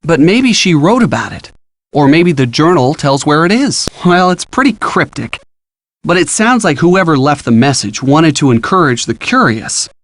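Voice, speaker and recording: An actor playing a helpful, upbeat college-age boyfriend with an American accent, giving casual, encouraging detective hints in an adventure game. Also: male voice, on the high side, sing-song, normal speed, fairly bright tone. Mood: curious, encouraging. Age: young adult. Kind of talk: scripted game hint dialogue.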